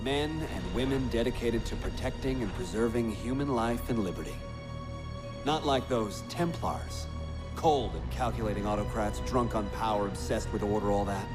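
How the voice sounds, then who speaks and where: A man's voice: on the low side, moderate pace, music in the background, close to an everyday voice. A male actor playing a mocking, theatrical, unhinged man trapped inside a machine in a video game. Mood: mocking, ominous. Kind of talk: dramatic video game narration and dialogue.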